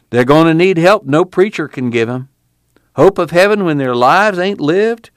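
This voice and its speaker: A man playing a former preacher full of quiet conviction, his voice lifted and carrying a sermon's cadence. He presses each thought home earnestly, close and dry. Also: a little high, dry recording, pitched above the performer's own voice, natural voice.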